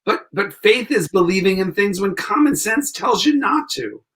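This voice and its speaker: A man's voice: high-pitched, sing-song, moderate pace, a bit bright. An actor playing an idealistic, earnest lawyer in a 1940s-style radio play, pleading his case. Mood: earnest, hurt.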